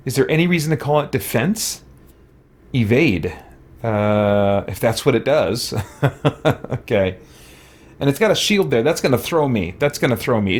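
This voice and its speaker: A man gives casual video game commentary in an average-pitched voice. He muses aloud and reads out on-screen text. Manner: musing, thinking aloud. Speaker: gaming let's-play host. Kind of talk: casual gameplay commentary, reading stats aloud.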